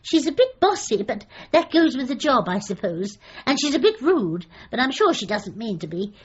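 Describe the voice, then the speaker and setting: A slightly muffled radio comedy recording. a timid, middle-aged British woman with a high voice that rises and falls in a lively way. She is a hapless, apologetic dog owner who chats on ruefully. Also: moderate pace, breathy edge, heavily acted. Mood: apologetic, chatty. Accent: British English. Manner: timid, apologetic, wistfully comic. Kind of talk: radio comedy drama acting.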